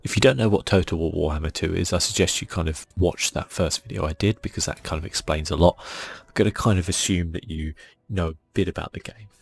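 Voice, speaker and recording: A soft-spoken man hosting a relaxed ASMR game playthrough, casual and a little hesitant in his delivery. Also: low voice, quick pace, vocal fry, hardly any pauses, husky. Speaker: gaming channel host.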